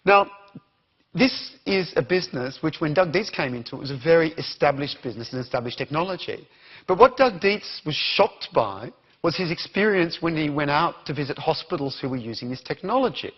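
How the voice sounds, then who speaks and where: Australian male keynote speaker, husky, higher-pitched, dull lo-fi capture. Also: brisk. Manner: animated, questions the audience. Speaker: conference speaker. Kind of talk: interactive keynote talk.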